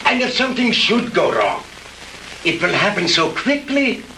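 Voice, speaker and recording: An actor playing a courteous but menacing mad scientist in a 1960s B-movie, with a formal, pompous, falsely reassuring manner on an old film soundtrack. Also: male voice, high voice, sing-song, moderate pace, far from the mic, noisy background. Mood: smoothly reassuring. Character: menacing, courteous mad scientist holding captives, coolly insistent.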